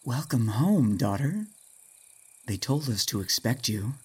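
A woman's voice: a bass voice, sing-song, a little slow, darkish tone, breathy edge, heavily acted. A woman playing a possessed, sneering, falsely sweet father who sold out to demons, in a horror-comedy audio drama. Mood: menacing. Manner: sneering, saccharine, theatrical.

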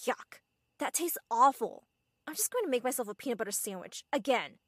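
A woman voicing a scornful, loud, rebellious teenage daughter in a dramatic story. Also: fairly high pitch, big pitch swings, a little fast, no echo, clean studio sound. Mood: disgusted, defiant.

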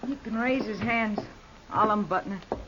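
An actress playing a sullen, quietly defiant young frontier woman, speaking tersely in a 1950s radio western. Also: medium pitch, moderate pace, lightly acted. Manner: terse, guarded. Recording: muffled, noisy old 1950s radio recording.

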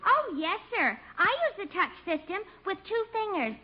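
A dim, cheerful young American secretary type in a radio sitcom. Her voice is extremely high and lilting, with a hazy, guileless delivery. The old recording sounds muffled. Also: very animated. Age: young adult. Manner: guileless, hazy, slow on the uptake. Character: dim, cheerful, naive young female job applicant. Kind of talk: 1950s radio situation comedy acting.

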